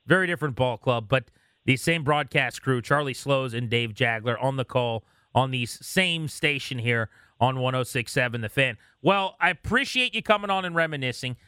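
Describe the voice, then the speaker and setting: A man's voice: mid-range voice, moderate pace, sharp tone, clear, resonant tone, dry recording, studio quality. A man hosting a sports radio show in a casual, conversational talk style.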